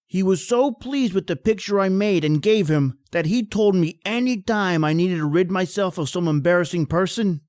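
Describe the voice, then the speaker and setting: An actor playing a wry, world-weary painter with a morbid wit, in a theatrical old-time radio horror style. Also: male voice, high-pitched, normal speed, few pauses, darkish tone, dry recording, clean studio sound, close to an everyday voice.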